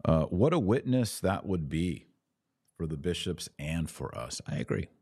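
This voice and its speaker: A man's voice: low voice, very animated, a little slow, vocal fry, darkish tone, slightly rough, dry recording, studio quality. A man hosting a live podcast, talking casually and directly to his listeners as he takes their comments. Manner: conversational, direct, urging listeners. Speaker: podcast host.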